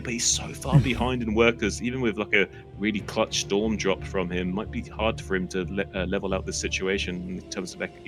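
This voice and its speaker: A man calling the play-by-play of a live esports match in a quick, excited way. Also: lowish voice. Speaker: esports caster. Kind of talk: play-by-play commentary.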